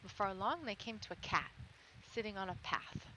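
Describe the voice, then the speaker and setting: A woman's voice: mid-range voice, animated, normal speed, bright, forceful tone. Woman reading storybook tales, noisy audio. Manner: breaks off to talk with viewers. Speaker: livestreamer reading stories to viewers. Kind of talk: live storybook reading with chatty asides.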